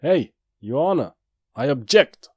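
A man's voice: medium pitch, sing-song, a little slow, breathy edge, no room sound, clean studio sound. A man playing a cocky, slangy street newsboy. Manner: slangy and wisecracking. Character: cocky young male newsboy leader, loyal. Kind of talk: fan audio drama.

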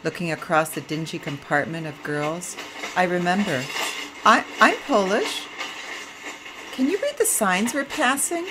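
A middle-aged woman reading a memoir excerpt aloud in a slow, reflective way. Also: lowish voice, expressive, noisy background. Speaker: vlogger and author. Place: train compartment.

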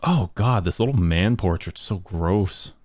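A man streaming a card game from home, joking and animated as he plays. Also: low-pitched, measured pace. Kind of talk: casual gaming chat.

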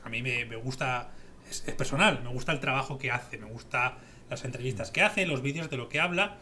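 A man's voice: medium pitch, fairly quick, bright, forceful tone. A man in his thirties with an accent from Spain, discussing politics casually and emphatically, rambling and repeating himself on a headset. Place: small room at home, video call. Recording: background noise on home stream.

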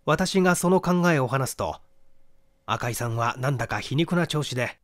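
A man giving a measured, expressive reading of a literary detective story as narrator. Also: mid-range voice. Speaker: audiobook reader, stage actor.

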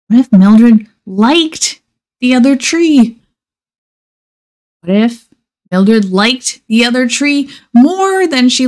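A woman reading a children's picture book aloud expressively, with measured dramatic pauses and emphasis. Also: a little high, gravelly, a little mellow. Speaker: storybook reader.

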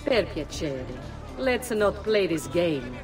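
A woman's voice: fairly low pitch, very animated, takes it slowly, over music. A woman playing a wry, composed middle-aged Italian noblewoman and mother in a video game, with an Italian accent. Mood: wry, knowing. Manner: composed, knowing. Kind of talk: dramatic video game acting.

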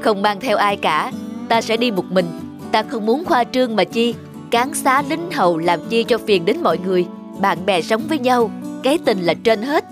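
An actor voicing a warm, humble traveling scholar in an animated morality tale. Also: medium pitch, normal speed, close to an everyday voice. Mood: calm, firm. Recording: background music throughout.

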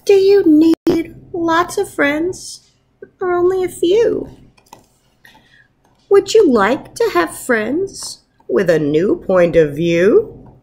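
A woman in her thirties, a first grade teacher, talking informally to young pupils and reading aloud in an encouraging, expressive way. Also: extremely high pitch, extremely slow. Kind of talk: warm informal message to pupils and picture-book read-aloud. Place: wood-ceilinged room at home, indoors. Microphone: webcam at arm's length.

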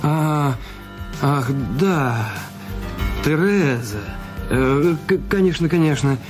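A man's voice: on the high side, lively intonation, slow. A man acting an anxious, guilty husband in a radio play, speaking in a hesitant, faltering, self-questioning way. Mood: nervous, evasive. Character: Raoul Duval, anxious, guilty husband with secrets. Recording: music bed under the voice.

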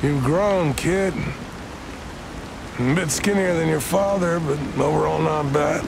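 An actor playing a menacing aging outlaw gunslinger in a Western game, with a gravelly, taunting drawl. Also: male voice, a little high, takes it slowly, background noise.

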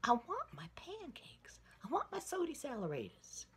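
A woman's voice: high-pitched, huge pitch swings, moderate pace, voice pushed up. A woman voicing an impatient, bossy old lady in a storybook tale, giving emphatic orders. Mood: fuming. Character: fuming, impatient old lady demanding her pancakes.

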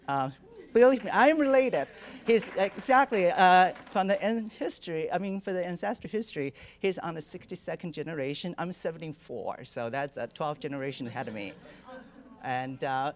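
A middle-aged man from Taiwan speaks, mid-pitched and easygoing. He pauses often with fillers and slips in self-deprecating jokes. He talks into a handheld mic in a lecture hall. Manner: relaxed, hesitant with many fillers, self-deprecating humor. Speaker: artist giving a lecture. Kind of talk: lecture presentation. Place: lecture hall with projection screen. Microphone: handheld microphone.

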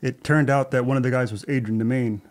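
A man in his forties with a mid-range voice talks in an unhurried, reflective way, often pausing to restart a thought. He sits at a desk mic in a podcast studio. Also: mid-range voice, fairly even intonation, a little mellow. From born in Thailand, raised in Southern California. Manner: measured, thoughtful, recalls details with small restarts. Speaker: guest. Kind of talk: relaxed conversational storytelling. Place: podcast studio with shelves and plants. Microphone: studio desk mic with headphones.

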